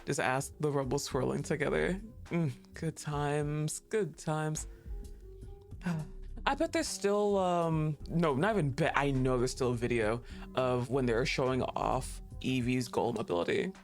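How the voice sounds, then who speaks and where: A male streamer chatting casually to his viewers, excitable, laughing often and swearing freely. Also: a little high. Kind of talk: casual livestream chat. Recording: faint music behind the voice.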